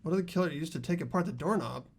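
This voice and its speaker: A man voicing an earnest high school boy in a mystery game, explaining a case in a deliberate, dramatic way. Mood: puzzled, intent. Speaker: amateur voice actor in a game playthrough.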